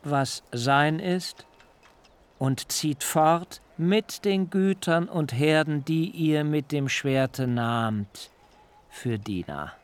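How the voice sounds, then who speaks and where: An actor playing a wrathful, grieving old patriarch who curses with anguished outcries in an audiobook drama. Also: male voice, mid-range voice. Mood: grim, commanding. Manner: furious cursing, rising to anguished outcries.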